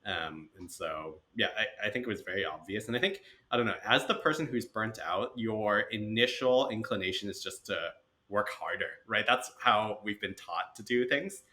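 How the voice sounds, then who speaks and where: A man's voice: on the low side, normal speed. A man, a podcast guest, talking casually with frequent "right?" and "like". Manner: relaxed, uses "right?" and "like". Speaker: podcast guest, venture partner. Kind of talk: casual conversation.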